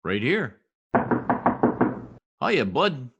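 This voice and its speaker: A man's voice: medium pitch, big pitch swings, extremely slow, very noisy, full character voice. A man playing a brash, folksy census taker in old-time radio comedy style, slangy, blustering and easily exasperated. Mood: breezy, self-important.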